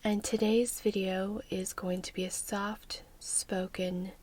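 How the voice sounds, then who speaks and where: A woman hosting an ASMR session in a soft, breathy, whispery voice, speaking gently and soothingly with measured phrasing. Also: mid-range voice, flat delivery. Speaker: ASMR host.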